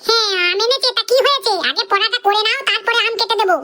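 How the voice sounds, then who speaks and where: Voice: very high voice, fast, sharp tone. A performer voicing a scolding, exasperated mother who nags her little boy in a Bengali comedy cartoon. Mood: stern. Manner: bossy, scolding, nagging.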